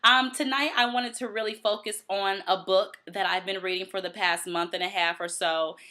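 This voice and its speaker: An American woman from Detroit giving reflective solo commentary as host, explaining deliberately and posing questions to the listener. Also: mid-range voice, steady tone, pushed and bright. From Detroit, United States. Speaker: host of a commentary and book review show.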